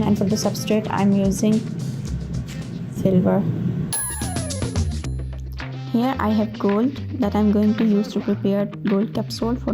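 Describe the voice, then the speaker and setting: A young woman from Pakistan whose first language is Urdu, a doctoral researcher speaking reflectively about her life, with pauses and fillers. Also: medium pitch. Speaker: PhD researcher. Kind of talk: personal documentary narration. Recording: roomy with music underneath.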